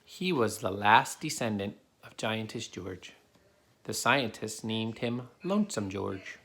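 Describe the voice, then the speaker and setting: A man's voice: on the low side, animated, takes it slowly, fairly bright tone, slight background noise. A man reading a children's picture book aloud as a father would to his child, in a plain storytelling way.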